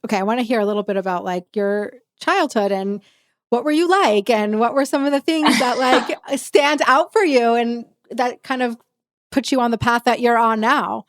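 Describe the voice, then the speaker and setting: A woman's voice: on the high side, moderate pace. A woman hosting a casual interview, originally a Yiddish speaker from Texas, asking curious, eager questions with lots of fillers. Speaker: podcast host.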